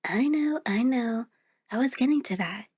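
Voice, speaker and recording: A woman's voice: a little high, animated, moderate pace, darkish tone, slightly rough. A woman voicing a sentient mirror reflection in an ASMR audio roleplay, speaking directly to the listener in a conversational, hesitant, explanatory way. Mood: patient, explanatory. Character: sentient female mirror reflection, sheepish, chatty, patient explainer.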